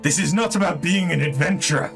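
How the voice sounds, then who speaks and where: A man's voice: a little high, fast talker, gravelly, a bit bright, soft background music, voice pushed up. A man voicing a fearful young Vath creature from a game, pleading in a strained, raised voice. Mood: desperate. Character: young male Vath beast-tribe creature, fearful and anxious.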